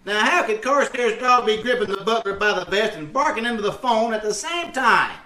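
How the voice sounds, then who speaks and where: A man acts out a folksy radio-comedy braggart with a high-pitched voice. He blusters and stammers through his boasts. The sound is echoey and distant, with some noise of a video call. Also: animated, brisk, pushed and bright. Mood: blustering, flustered. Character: folksy, boastful, bumbling husband spinning tall tales. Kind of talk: old-time radio comedy acting. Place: indoors on a live Zoom call.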